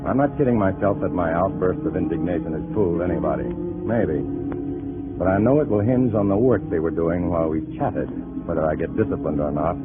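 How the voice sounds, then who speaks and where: An actor playing a bitter, tense undercover agent posing as a Communist, in hard-boiled 1950s radio style. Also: male voice, low voice, lively intonation, brisk. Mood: grim, brooding. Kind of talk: 1950s radio acting, hard-boiled first-person narration. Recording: muffled vintage radio drama, faint music.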